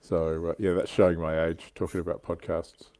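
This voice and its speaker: A man's voice: deep voice, little pitch movement, normal speed, dark tone, no room sound. A man with an Australian accent hosting a casual livestream panel chat. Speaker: host of a livestream.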